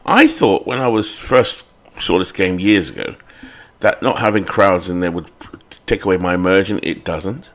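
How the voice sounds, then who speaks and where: A man's voice: low-pitched, normal speed. A British man hosting a baseball sim game channel, chatting casually and rambling with fillers and self-corrections.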